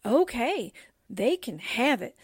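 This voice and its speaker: A woman playing a sarcastic, slangy, excitable female sidekick in a radio drama. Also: fairly high pitch, takes it slowly, husky, no room sound, studio quality, heavily acted. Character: wisecracking, jumpy, sarcastic female newspaper sidekick.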